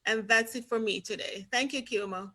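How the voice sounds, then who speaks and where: A woman's voice: on the high side, normal speed, bright, forceful tone. A Jamaican woman with a Jamaican accent, an educator giving a composed, formal self-introduction on a video call.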